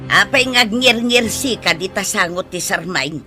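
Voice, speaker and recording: A woman's voice: medium pitch, expressive, unhurried, bright, forceful tone, soft background music. A woman with an Ilocano accent playing a scolding, suspicious, gossipy neighbour in a radio drama.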